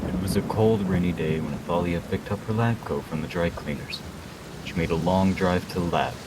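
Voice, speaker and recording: A man's voice: sits low, brisk, very noisy. A man reading scripted narration as the storyteller of a radio play.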